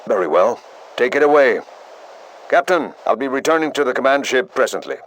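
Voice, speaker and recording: An actor playing a dutiful lieutenant reporting in a video game, with formal, brisk military delivery. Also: male voice, medium pitch, animated, a bit bright, slight background noise, lightly acted, low-quality recording. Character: male lieutenant, dutiful field officer reporting to captain. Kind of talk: video game cutscene acting.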